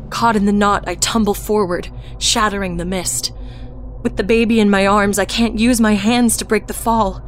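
A woman reading a dark first-person story aloud, slow and hushed, sinking toward a whisper. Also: medium pitch, fairly even intonation, a little hiss or hum. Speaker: narrator. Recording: narration over loud music score.

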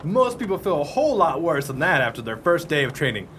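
Radio play salesman, acted by a man: effusive, wheedling, forceful bright tone, very animated. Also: a little high, quick pace, slight background noise. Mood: gleefully upbeat. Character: relentlessly upbeat, wheedling veteran ice cream salesman.

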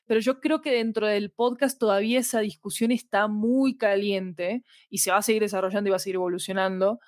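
A female interview guest with a Rioplatense accent and an average-pitched voice. She reasons out loud in loose, colloquial conversation. The recording is dry and free of echo. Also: steady tone, brisk. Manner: colloquial, thinking aloud. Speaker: podcast producer, interview guest. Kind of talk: casual reflective conversation.

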